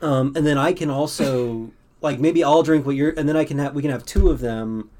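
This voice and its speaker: A man's voice: on the high side, moderate pace, darkish tone. Man rambling through a tabletop roleplaying story. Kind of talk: casual improvised narration of a tabletop game.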